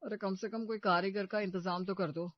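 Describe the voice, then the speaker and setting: Man acting a very high-pitched, exasperated young shopkeeper. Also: fairly even intonation, fairly quick, no room sound, dull audio, close to an everyday voice. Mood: pleading. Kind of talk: story cartoon voice acting.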